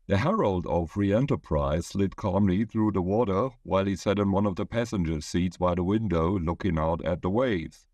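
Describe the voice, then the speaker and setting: A man's voice: sits low, moderate pace, few pauses, darkish tone, no echo, dull audio. A man reading his own short story aloud as a scripted narration.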